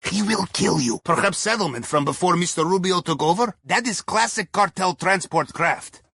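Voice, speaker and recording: A wry, jovial Russian man planning heists in a video game. His voice is high and husky, with very animated intonation. He chats in droll, folksy broken English with a thick accent. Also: moderate pace, no room sound, exaggerated voice. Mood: advising, cautionary. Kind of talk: video game mission dialogue over radio.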